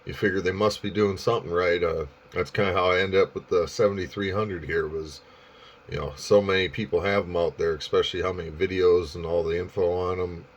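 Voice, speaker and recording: A man's voice: low-pitched, fairly even intonation, a little fast, muffled recording. A man talking casually as an amateur radio operator, in a rambling, hesitant way. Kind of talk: casual ham radio chat.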